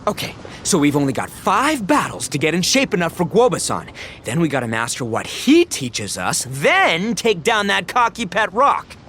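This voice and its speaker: A man's voice: sits high, huge pitch swings, moderate pace, fairly bright tone, a little hiss or hum, exaggerated voice. A man playing a brash, boastful, goofy young oni gang boss in a video game, slangy and exuberant. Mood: fired up. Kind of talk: animated video game voice acting.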